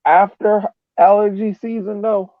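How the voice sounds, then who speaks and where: A man's voice: high-pitched, flat delivery, measured pace, no room sound. A man co-hosting a soccer fan podcast over a thin, phone-like connection, chatting casually and enthusiastically. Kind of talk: casual chat. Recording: thin, phone-like radio sound.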